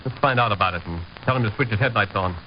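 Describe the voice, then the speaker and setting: An actor playing a brisk, alert border patrolman with a clipped, matter-of-fact manner, in old radio drama style. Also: fairly low pitch, lightly acted. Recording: dull, faintly noisy vintage radio recording.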